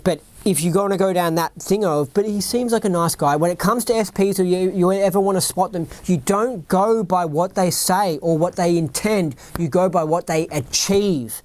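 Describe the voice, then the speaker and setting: Australian-accented podcast host, mid pitch, dry close mic, reads a script then drifts into chatty asides. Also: darkish tone, no room sound. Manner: rambling, keeps asking "right?" and "you know what I mean?". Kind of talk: reading a written account aloud with off-script asides.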